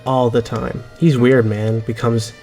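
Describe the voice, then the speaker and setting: A man talks through his scripted explainer in a clear, steady teaching voice. His pitch sits in the middle range. Soft music plays faintly behind him. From Newfoundland, Canada. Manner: clear, measured teaching delivery. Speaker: explainer in a played video.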